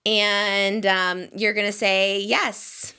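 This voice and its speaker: A woman's voice: mid-range voice, level tone, extremely slow, pushed and bright, smooth. A woman in her thirties hosting a podcast, chatting in a bubbly, giggly way with many fillers. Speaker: podcast host. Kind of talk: casual chat.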